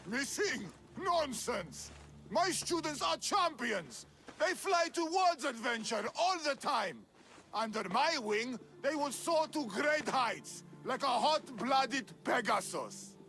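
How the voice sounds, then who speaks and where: A man's voice: very high voice, big pitch swings, slow, vocal fry, bright, forceful tone, faint music behind, full character voice. A man voicing a boastful, haughty, hot-tempered Olympic trainer in a video game, theatrical and scoffing. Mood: dismissive. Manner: pompous, scoffing, declamatory.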